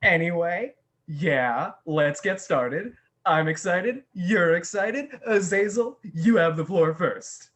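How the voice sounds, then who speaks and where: A man's voice: high voice, takes it slowly, a bit bright. An actor playing a chatty, theatrical Satan hosting his demons in a comic play, sardonic and casually foul-mouthed. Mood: playful, upbeat. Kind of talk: comic stage monologue over video call.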